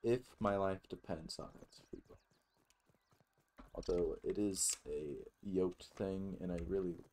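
Low-voiced man rambling through a game. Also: fairly even intonation, a little slow, warm tone, a little hiss or hum. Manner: rambling, musing aloud, swears casually. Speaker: gaming video host. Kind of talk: casual let's play commentary.

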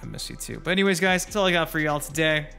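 Bright-voiced man doing casual gaming commentary. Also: a little high, expressive, fast, gravelly. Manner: rambling, informal, addressing viewers. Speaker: gaming channel host. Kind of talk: casual gameplay trailer commentary. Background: music.

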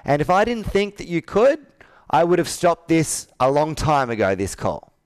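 Male sales coach, 30s, smooth voice, dry close sound, pushes with probing questions. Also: a little high, very animated, moderate pace, dry recording. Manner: assertive, probing, rhetorical questions. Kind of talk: persuasive coaching on a sales call. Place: indoor office with a flip chart, on a video call.

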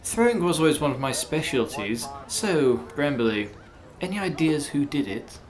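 A former soldier in an audio drama. His delivery is animated. He is chatty and cocky. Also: on the high side, moderate pace. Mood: breezy. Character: villager, former army man, chatty and cocky. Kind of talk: podcast drama acting.

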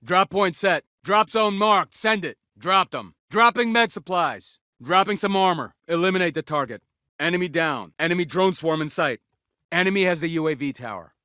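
A tough special forces soldier from a military shooter game. His voice is bright and forceful, set a little high for a man. He barks terse, commanding callouts, recorded very dry and close. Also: lively intonation, moderate pace, clear, resonant tone, dry recording. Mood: brisk, focused. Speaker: video game voice actor.

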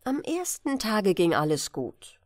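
A woman narrating a fairy tale as an expressive bedtime audiobook reading. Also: mid-range voice, unhurried, dry recording, studio quality.

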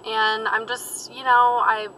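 A woman's voice: fairly high pitch, flat delivery, slow pace, bright, forceful tone, poor audio. Chatty young woman vlogging from her car. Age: young adult. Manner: chatty and rambling, many fillers and asides. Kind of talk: casual vlog chat while driving. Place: inside a parked and moving car. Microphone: camera on the dashboard. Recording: car interior with road and engine noise.

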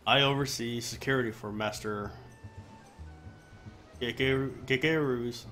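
A man doing a live let's play, reading quest dialogue aloud haltingly and often restarting his phrases. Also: mid-range voice, fairly even intonation, sharp tone. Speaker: game streamer. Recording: music behind the voice. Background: game music.

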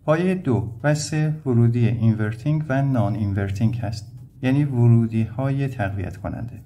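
A man's voice: mid-range voice, warm tone. A man from Iran explaining step by step as an electronics instructor, in a plain, methodical way. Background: background music.

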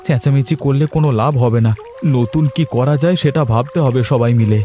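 A man's voice: medium pitch, brisk, mellow, soft background music, natural voice. A man voicing a worried, irritable village farmer and husband in a cartoon drama. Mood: irritated.

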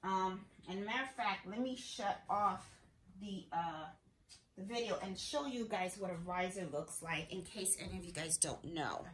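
A woman's voice: mid-range voice, normal speed, fairly bright tone, echoey room, a little hiss or hum, low-quality recording. A middle-aged woman hosting a casual embroidery tutorial from her home sewing room, chatting and thinking aloud as she works. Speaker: sewing channel host.

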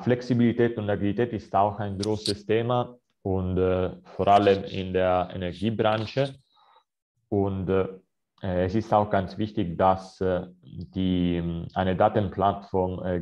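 Italian-accented man, low dark voice. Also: little pitch movement, slow. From Italy. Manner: hesitant, many filler sounds and restarts. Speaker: account manager presenting a webinar. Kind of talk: webinar presentation. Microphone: video call. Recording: slightly dull video-call audio.

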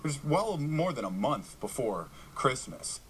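A man's voice: mid-range voice, sing-song, measured pace, a little hiss or hum. An American man answering interview questions in an opinionated, unapologetic way. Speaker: interviewee, media commentator.

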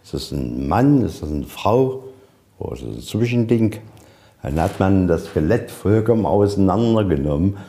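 A man's voice: low-pitched, animated, moderate pace, mellow. A man working as a museum guide, telling stories informally and folksily, often posing questions and answering them himself. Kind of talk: informal guided tour storytelling. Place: inside a museum.

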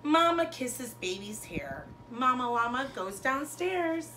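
A woman in her thirties, a teacher reading a children's storybook aloud to pupils with expressive, sing-song phrasing and emphasized words. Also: high-pitched, slow, slight background noise, rough recording. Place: bedroom, indoors. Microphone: camera a couple of metres away.

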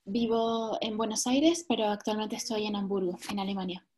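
A woman's voice: medium pitch, fairly even intonation, normal speed, heavy background noise. A woman from Venezuela briefly introducing herself on a video call. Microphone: webcam on video call.

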